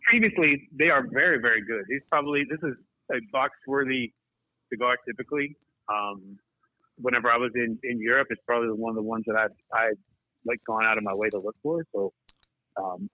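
A man's voice: medium pitch, no echo. Easygoing man, dry narrow-band radio sound. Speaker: guest correcting pronunciation and commenting on cigars. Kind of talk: casual chat.

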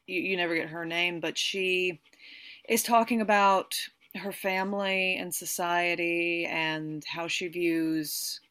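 A woman with a somewhat low voice speaks as an interview guest. She tells things in a chatty, enthusiastic way. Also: steady tone, slow pace, fairly bright tone. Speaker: actress guest. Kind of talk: casual interview answer.

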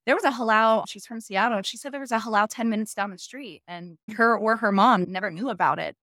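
A woman's voice: on the high side, quick pace, pushed and bright, no echo, clean studio sound. A woman from the continental United States giving her views casually and emphatically as a panel guest.

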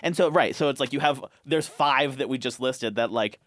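A man's voice: mid-range voice, moderate pace, a bit bright, no echo, clean studio sound. A man co-hosting a podcast, chatting casually and laid-back, swearing freely and laughing often. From Montana, US. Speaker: podcast co-host.

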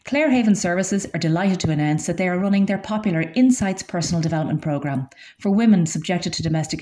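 A woman reads local community radio news from a script. Her voice sits fairly low and has a soft, mellow tone with very little edge.

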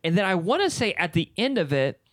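A man's voice: high voice, big pitch swings, fairly quick, no echo, studio quality. A young man co-hosting a casual podcast, telling stories with lots of fillers and frequent laughs. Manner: rambling storyteller, laughs often, lots of fillers. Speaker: podcast co-host. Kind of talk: casual chat.

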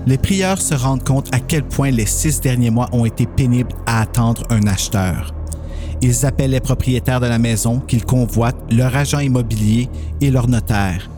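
A man's voice: mid-range voice, normal speed, music in the background. A man narrating a scripted horror story as a podcast host.

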